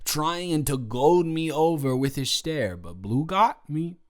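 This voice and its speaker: A man's voice: a little high, animated, slow pace. A young man playing a playful drunk college roommate in an intimate audio roleplay, rambling, slurring, joking and repeating himself. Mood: chatty, rambling. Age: young adult.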